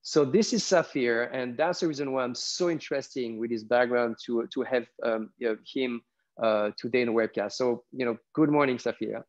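A man's voice: mid-range voice, steady tone, normal speed. Man hosting a webcast, dull call audio. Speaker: webcast host. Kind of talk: conversational interview with a prepared introduction. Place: small furnished room at home. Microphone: wireless earbuds on a video call.

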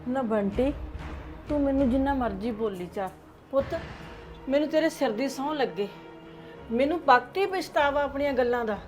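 A woman's voice: sits high, lively intonation, normal speed, pauses often, darkish tone, faint music behind. An actress playing a loving, remorseful older grandmother who talks tenderly and pleads. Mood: pleading. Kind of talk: family drama acting.

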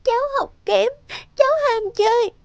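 Very high-pitched, plaintive teenage schoolgirl. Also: steady tone, normal speed, gravelly, a little mellow, no echo, highs cut off. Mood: pleading, sulky. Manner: plaintive, youthful, emotional. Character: sensitive, aggrieved sixteen-year-old schoolgirl. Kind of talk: animated drama voice acting.

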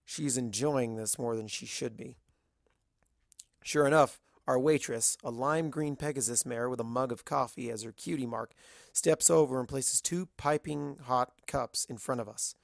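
Creaky-voiced story narrator. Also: medium pitch, moderate pace, dry recording, clean studio sound. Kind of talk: scripted first-person fan fiction narration.

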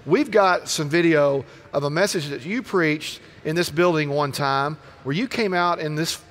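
A man's voice: a little high, moderate pace, a bit bright. A man in his fifties hosting a conversational interview, asking questions and prompting his guest. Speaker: interviewer, host. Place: large convention hall stage, indoors. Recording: faint background noise on stage.

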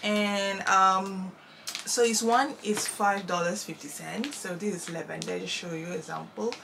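A woman chats through her purchases in a home bedroom, speaking haltingly with frequent ums and restarts. Her pitch sits in the middle. Steady room ambience hums clearly behind her voice. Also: background noise. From Singapore. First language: Malay. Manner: chatty, halting, many fillers and restarts. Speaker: vlogger. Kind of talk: casual product haul review.

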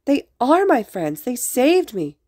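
A woman voicing a childlike, playful boy in a story, excitable and innocent with theatrical flair. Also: a little high, moderate pace, no echo, studio quality, pitched above the performer's own voice. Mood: pleading, indignant. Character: childlike, playful, theatrical hero-student boy among villains.

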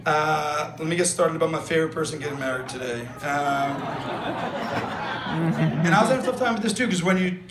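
A man's voice: fairly high pitch, a little fast. A young man giving a best man's wedding toast on a handheld mic, rambling with fillers and jokes. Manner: rambling, filler-heavy, joking. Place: large wedding tent. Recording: echoey tent with loud room noise. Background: guests laughing.

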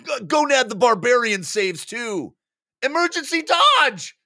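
A man voicing excitable nerdy male tabletop gamers, exclaiming and mock-heroic in a comic dramatic reading. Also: very high-pitched, a little slow, no echo, studio quality, pitched above the performer's own voice, exaggerated voice. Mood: panicked.

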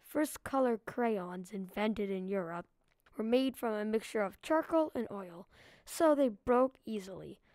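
A woman's voice: medium pitch, animated, a little slow, a little mellow, no room sound. A female reader giving a scripted read-aloud of a children's book.

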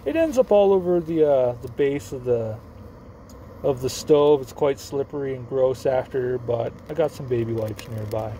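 A man's voice: medium pitch, animated, normal speed, mellow, slight background noise, rough recording. A young man filming himself cooking on a camp stove inside a parked car, rambling and joking at himself as he goes, with the phone close by. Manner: rambling, self-mocking, offhand. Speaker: vlogger cooking on camera. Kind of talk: casual joking how-to vlog. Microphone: phone held or propped nearby. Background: sizzling camp stove.

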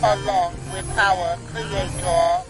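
Slow, high-voiced, boastful machine villain. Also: male voice, steady tone, over a noisy crowd. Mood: haughty. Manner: pompous, declamatory. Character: grandiose, menacing evolved machine villain, boastful and superior. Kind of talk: audio drama villain acting. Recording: loud music behind the voice.

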